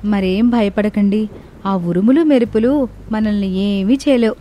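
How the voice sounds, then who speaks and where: Woman playing young mother, firm, caring, medium pitch, storybook drama. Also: a little slow, mellow, a little hiss or hum, lightly acted. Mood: soothing, firm.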